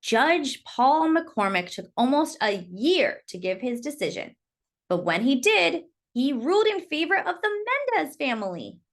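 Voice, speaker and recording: A woman reading a children's book aloud in expressive storytelling narration. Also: sits high, a little slow.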